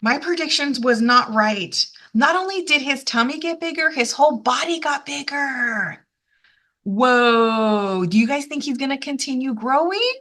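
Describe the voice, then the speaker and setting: A woman hosting a children's storytime, talking directly to young listeners with excited, exaggerated reactions and questions. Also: high voice, unhurried. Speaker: children's storytime channel host. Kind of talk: animated read-aloud commentary talking directly to young children.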